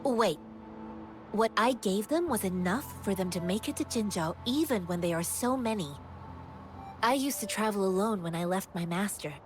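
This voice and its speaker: An actress voicing an earnest, generous young wandering martial disciple in a video game, speaking in a calm, formal, sage-quoting manner. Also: female voice, medium pitch, moderate pace, soft background music, natural voice. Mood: earnest, composed.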